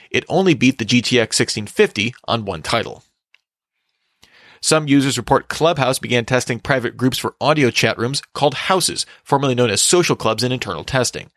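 A man reading scripted tech news as a podcast host in a matter-of-fact, measured way. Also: mid-range voice, fairly bright tone, no echo, clean studio sound.

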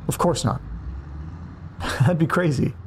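A man's voice: mid-range voice, big pitch swings, slow. A man playing an earnest, anxious amateur podcaster in naturalistic, hesitant audio drama dialogue. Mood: resigned. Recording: faint car noise. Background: car interior ambience.

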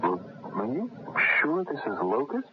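Wry attorney with swooping intonation. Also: male voice, medium pitch, huge pitch swings, unhurried. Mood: wry, determined. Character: wry, courteous, insinuating male attorney. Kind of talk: 1970s radio mystery acting. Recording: muffled vintage radio broadcast with hiss.

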